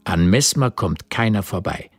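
A man narrating a radio drama in a measured, terse literary style. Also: fairly low pitch, lively intonation. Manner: measured, terse present-tense storytelling.

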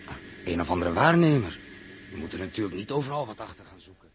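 A man's voice: on the low side, big pitch swings, fast talker, a crowd in the background. An actor playing a reasonable, easygoing crewman in a 1960s radio drama, with a colloquial, reflective way of talking. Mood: thoughtful. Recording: noisy early-1960s radio recording.

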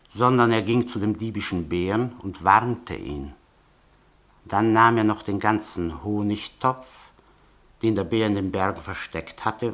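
A man's voice: lowish voice, a bit bright. An actor playing a sly, mocking male informant who blackmails with oily politeness in a radio play. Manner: oily, mocking politeness, tells threats as a fairy tale.

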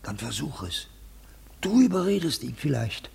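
An actor playing an insistent, weary ship's captain with a hoarse voice in a 1950s radio drama. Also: male voice, fairly high pitch, sing-song, normal speed, a little mellow, heavily acted. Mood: urging. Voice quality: hoarse, gravelly.

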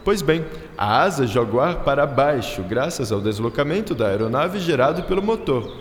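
A man's voice: medium pitch, big pitch swings, normal speed, natural voice. A man playing a courteous, proud Brazilian inventor-aviator in a radio drama, explaining science theatrically with French words sprinkled in. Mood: enthusiastic, explaining. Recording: roomy reverberant sound with music behind.

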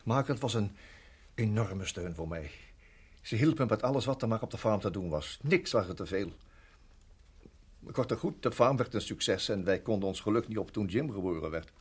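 An actor in a radio play voicing a reflective, middle-aged married farmer who reminisces in a measured, halting way. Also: male voice, on the low side, lively intonation, slightly rough. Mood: fond, reminiscing. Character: weary, reflective married farmer recalling his years in Rhodesia.

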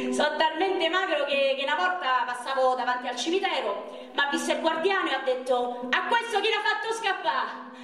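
A woman on stage playing a very skinny, self-mocking young man from Rome, telling jokes in a declamatory, repetitive way with a Roman Italian accent. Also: sits high. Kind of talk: theatrical stage monologue. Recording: echoey stage recording from far off, noisy.

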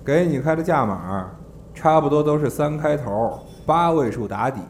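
An actor playing a brisk, teasing talent manager in a Mandarin audio drama, chatty and exasperated in his banter. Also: fairly high pitch, animated, a little hiss or hum, natural voice. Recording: music behind the voice.

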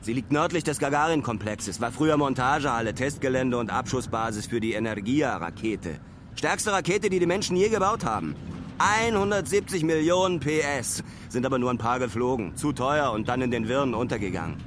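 A man's voice: medium pitch, lively intonation, a little fast, noisy background, natural voice. A man playing a weary traveler who gives a diary-like radio drama account from a decayed launch site, in German. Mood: wary, observant. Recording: loud outdoor machinery and vehicle ambience.

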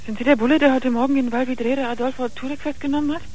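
A very high-pitched, fast-talking desperate addict girlfriend in a radio crime drama. She pleads urgently down a noisy telephone line. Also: fairly even intonation, darkish tone. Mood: anxious, insistent. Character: desperate drug-addicted girlfriend of an arrested man.

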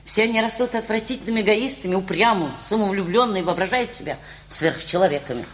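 An actress playing a fretful, reproachful aunt in a radio play, with a nagging, exasperated manner. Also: female voice, medium pitch, fast, natural voice. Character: fretful, reproachful aunt who raised her spoiled nephew.

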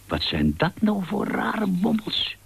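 A man's voice: sits high, wildly swooping pitch, slow, vocal fry, husky, pitched above the performer's own voice, full character voice. A man voicing a fretful, easily alarmed owl from a children's storybook, with dramatic exclamations. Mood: puzzled, worried.

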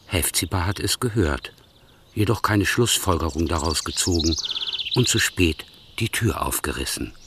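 A man narrating a radio play in a measured, wry storytelling manner. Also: deep voice, expressive, creaky, heavy background noise.

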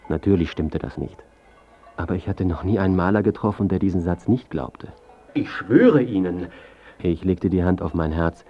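A man's voice: low voice, lo-fi sound. An actor playing a smug, calculating journalist in his thirties, narrating and talking with dry self-importance in a radio drama. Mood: ingratiating. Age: 30s. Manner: self-important, smooth-tongued, dryly cynical. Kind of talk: first-person narration and dialogue in radio drama.

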